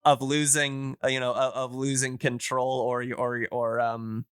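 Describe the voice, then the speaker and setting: A man co-hosting a casual podcast discussion, explaining things in a halting, digressive way. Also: medium pitch, level tone, clear, resonant tone, no echo, studio quality. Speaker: podcast co-host explaining radio history.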